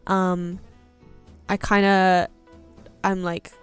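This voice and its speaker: A woman's voice: mid-range voice, flat delivery, extremely slow, clean tone, faint music behind. A young woman with a New Zealand accent chatting casually while drawing on stream, rambling with lots of fillers. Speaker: art streamer and English teacher.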